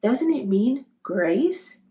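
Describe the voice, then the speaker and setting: A woman acts a kindly male shopkeeper in a storybook. The delivery is friendly and very animated, pitched on the high side. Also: drawn-out words, heavily acted. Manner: friendly, complimentary.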